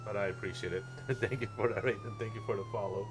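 A man's voice: fairly low pitch, huge pitch swings, quick pace. A man streaming a role-play game live, bantering playfully with plenty of swearing and exclamations. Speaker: streamer role-playing a paramedic. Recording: sirens and vehicle noise behind. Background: game sirens and vehicle sounds.

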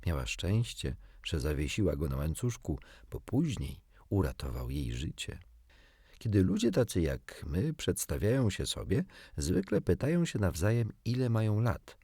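A man reading a children's storybook as an audiobook narrator, in an expressive scripted style. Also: low voice, moderate pace, husky, no echo, studio quality.

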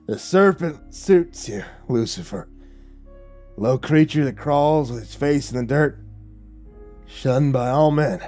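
A man voicing a defiant, dying old preacher gunfighter who growls in a rough, folksy drawl. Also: mid-range voice, slow, long pauses, warm tone, soft background music, close to an everyday voice. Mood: mocking. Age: older.

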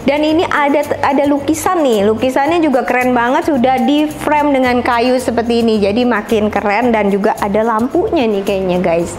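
Woman, property tour host, chatty and enthusiastic, higher voice, clear music bed underneath. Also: normal speed, hardly any pauses, darkish tone. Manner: upbeat, addresses viewers as friends. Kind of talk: walkthrough house review. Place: house and garden tour. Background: background music.

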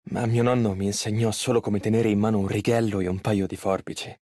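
A man's voice: lowish voice, level tone, brisk, dark tone, no echo, studio quality, lightly acted. An actor playing a polite, earnest and easily flustered young male tailor in an Italian game dub. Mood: calm, reflective. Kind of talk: video game character voice lines, Italian dub.

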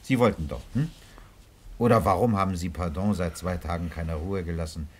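An actor in a radio crime drama playing a calm, persistent police commissioner who questions tersely with thoughtful grunts. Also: male voice, low-pitched, moderate pace, slightly rough. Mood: insistent.

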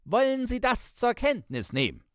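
A man's voice: very high-pitched, huge pitch swings, moderate pace, dry recording, studio quality, pitched above the performer's own voice, heavily acted. A man voicing a brash, indignant young cyclist who scolds and threatens in a literary story. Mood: menacing indignation. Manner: scolding, threatening, sharp-tongued.

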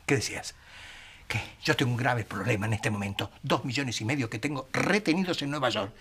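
A man's voice: mid-range voice, brisk, fairly bright tone, slight background noise, natural voice. An actor playing an irascible, overbearing older businessman and father in a TV melodrama, with an Argentine accent and a blustering, imperious manner. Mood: complaining. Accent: Argentine Rioplatense. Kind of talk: TV melodrama acting.

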